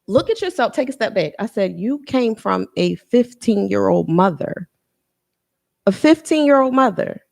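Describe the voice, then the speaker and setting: A woman's voice: medium pitch, animated, moderate pace, creaky, a little mellow. A woman in her thirties, an interview guest talking candidly and reflectively about her life, often restarting her sentences. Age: 30s. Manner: earnest and reflective, with frequent restarts and repeats. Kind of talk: candid personal conversation.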